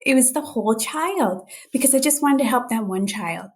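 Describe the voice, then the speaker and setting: A woman's voice: on the high side, animated, normal speed. A woman speaking as a guest clinician on a podcast, telling personal stories earnestly and often acting out what others said. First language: Urdu and Hindi besides English. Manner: earnest, quotes others' remarks in dialogue. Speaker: guest speech-language pathologist. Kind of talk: podcast interview, personal storytelling.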